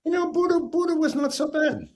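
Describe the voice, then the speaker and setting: An older American man telling a long anecdote in conversation, lively and husky, often checking in with "right?". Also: extremely high pitch, normal speed. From United States. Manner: animated raconteur, repeats "right?", acts out quoted voices. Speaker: guest. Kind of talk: storytelling in conversation.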